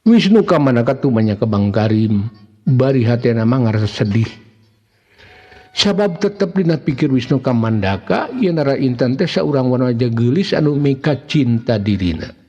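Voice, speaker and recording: Sundanese guy telling a folktale dramatically, mellow husky voice, muffled echoey sound. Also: medium pitch, animated, moderate pace. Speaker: storyteller. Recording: muffled, long reverb, faint music behind.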